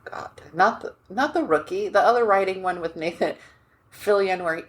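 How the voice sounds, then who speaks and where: An American woman co-hosting a podcast, chatting casually with expressive, laughing asides and restarts. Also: medium pitch, moderate pace. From United States. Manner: expressive, gestures and laughs, trails off mid-thought. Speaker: podcast co-host. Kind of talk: casual chat.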